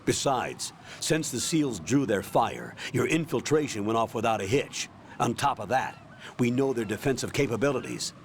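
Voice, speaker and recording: A man's voice: mid-range voice, normal speed, slight background noise, close to an everyday voice. A man voicing a stern, commanding colonel. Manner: firm, commanding, calm. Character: stern male colonel giving orders over radio. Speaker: radio commander. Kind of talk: video game cutscene acting.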